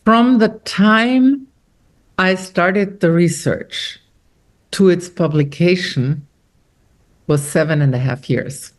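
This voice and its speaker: A middle-aged woman from Austria, an author talking about her novel in an interview, animated and quoting others as she tells stories. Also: lowish voice, takes it slowly, long pauses. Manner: animated storyteller, quotes others with lively shifts. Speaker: author guest. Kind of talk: conversational interview.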